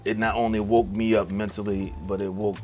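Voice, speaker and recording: A middle-aged man speaks in a low, easygoing voice, full of "you know" and restarts. He tells his story in a relaxed, conversational way. Soft music plays faintly beneath him. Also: a little fast. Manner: laid-back, many fillers and restarts. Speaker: songwriter guest. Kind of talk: casual interview talk.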